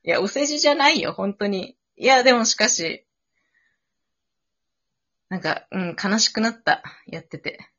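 A woman hosts a casual after-show chat. Her voice is bright and her intonation moves around a lot. The sound is slightly dull and lo-fi. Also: mid-range voice, expressive, measured pace, pauses often. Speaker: radio show host. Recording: dull lo-fi online audio.

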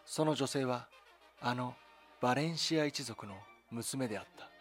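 A man narrates a documentary drama in a solemn, measured way. His voice sits at a medium pitch, and he sets out the story in short, deliberate phrases. Music plays underneath him. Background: background music.

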